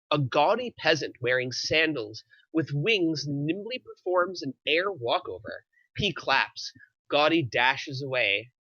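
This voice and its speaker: A man's voice: medium pitch, pushed and bright, no echo, highs cut off. A man narrating the stage directions of a screenplay at a table read, in scripted present-tense style.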